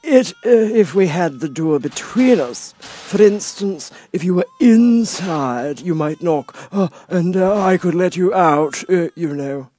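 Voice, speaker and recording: A man voicing a dim, stubborn frog footman from a storybook, with a hesitant, drawn-out, vague delivery. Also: high-pitched, lively intonation, dark tone, pitched above the performer's own voice. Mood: vague, unhurried.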